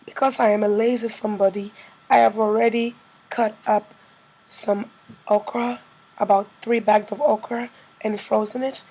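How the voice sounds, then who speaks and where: Slightly hoarse woman, creaky voice. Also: medium pitch, steady tone, moderate pace, dark tone, poor audio. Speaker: cooking vlogger. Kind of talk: casual narrated cooking tutorial.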